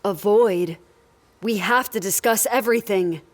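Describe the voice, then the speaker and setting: Woman as sci-fi crew leader: stern, decisive, commanding, direct, medium pitch. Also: measured pace, lightly acted. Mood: questioning. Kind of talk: audio drama acting.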